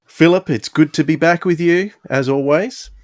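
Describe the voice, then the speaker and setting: A man's voice: a little high, moderate pace, darkish tone, no echo, studio quality. An Australian man presenting a podcast in a casual style as its host.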